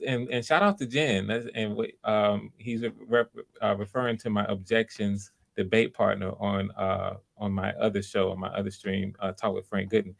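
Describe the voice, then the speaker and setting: A man's voice: fairly low pitch, fairly even intonation, moderate pace, vocal fry, few pauses. A man hosting voice auditions on a livestream, talking casually in an informal, encouraging way and often repeating phrases. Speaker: host running voice auditions.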